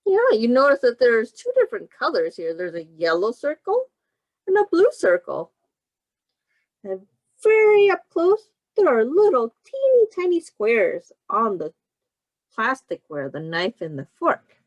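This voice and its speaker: A woman's voice: fairly high pitch, wildly swooping pitch, measured pace, dry recording, muffled recording. A woman reading a picture book aloud as a teacher, explaining simply to young children and asking them questions. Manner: simple instructive phrasing aimed at young children.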